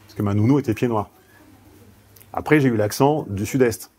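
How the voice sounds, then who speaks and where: A man's voice: medium pitch, normal speed, warm tone. Frank, salty-tongued seminar speaker man. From southeastern France. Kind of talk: seminar talk.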